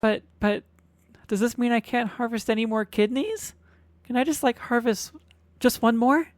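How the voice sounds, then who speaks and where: A woman's voice: a little high, moderate pace, no echo, natural voice. A woman playing an obsessive, boastful kitsune fox girl in an ASMR roleplay, cajoling and dramatic. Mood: pleading.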